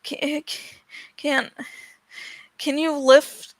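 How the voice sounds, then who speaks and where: A woman's voice: high-pitched, flat delivery, extremely slow, no room sound, low-quality recording. A woman voicing a desperate, determined young man in a fantasy storybook, urgent and pleading.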